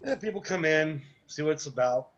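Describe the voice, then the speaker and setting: A man's voice: a little high, animated, a little fast, slight background noise, close to an everyday voice. Man playing easygoing support group leader, dull audio. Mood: welcoming. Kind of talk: table read of web series drama. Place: remote video call. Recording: dull, lo-fi online call audio.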